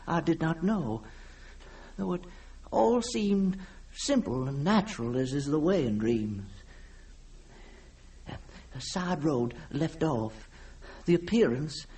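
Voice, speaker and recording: An actor playing a haunted, guilt-ridden man who recounts a nightmare in a halting, stammering, theatrical way, as in an old-time radio mystery. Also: a little high, a little mellow, husky, exaggerated voice. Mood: brooding, halting. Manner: halting, stammering, theatrical delivery with repeated words. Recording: old radio drama with music underneath.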